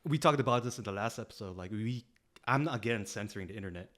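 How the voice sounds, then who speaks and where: A man co-hosting a podcast in casual chat, laid-back, filling his talk with "like" and "you know what I mean", and trailing off quietly at the ends of phrases. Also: fairly low pitch, quick pace. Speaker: podcast co-host.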